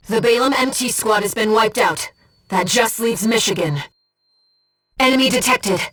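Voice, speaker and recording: An actress voices an ethereal young female spirit who guides a pilot through a video game. She is earnest and devoted, speaking in a mid-pitched voice with gentle, exact phrasing. The sound sits a little away from the microphone. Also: distant mic, natural voice, rough recording. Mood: urgent, alarmed. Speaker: mission operator companion. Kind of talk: scripted video game voice acting.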